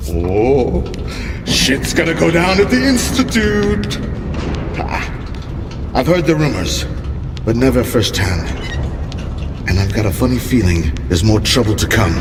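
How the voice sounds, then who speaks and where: An animated, wry man in echo. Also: mid-range voice, measured pace, pauses often, background noise. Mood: intrigued. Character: chatty, wry man who idealizes a hidden shining zone. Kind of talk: video game cutscene acting. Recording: roomy long reverb, loud music behind.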